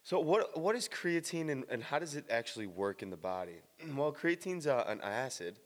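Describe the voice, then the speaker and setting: Blunt man, slightly raspy morning voice. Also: mid-range voice, big pitch swings, normal speed, long reverb tail. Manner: blunt, casual, swears, many restarts. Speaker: fitness vlogger. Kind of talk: casual explainer monologue.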